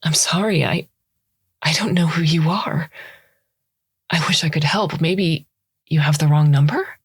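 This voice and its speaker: A woman's voice: low voice, measured pace, breathy edge, dry recording, clean studio sound, full character voice. Polite bemused man on a phone call, a woman reading, courteous, mild. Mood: puzzled.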